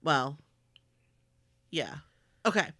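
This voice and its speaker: A woman's voice: deep voice, big pitch swings, drawn-out words, bright, forceful tone, no room sound, studio quality. A woman co-hosting a casual podcast, agreeable and hesitant, often restarting her sentences. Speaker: podcast co-host. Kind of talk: casual chat.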